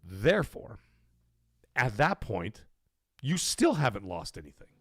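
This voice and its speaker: A man's voice: mid-range voice, sing-song, a little slow, slightly rough, no room sound. A man hosting a gaming livestream, talking casually and emphatically to his chat, often checking in with his listeners as he argues his point. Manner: emphatic, persuasive, keeps checking in with "okay?" and "right?".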